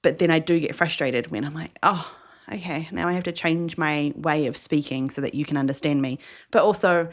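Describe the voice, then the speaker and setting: A woman's voice: low voice, a little fast, hardly any pauses. A woman from New Zealand with a New Zealand accent, co-hosting a podcast in easygoing, reflective casual chat. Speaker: podcast co-host.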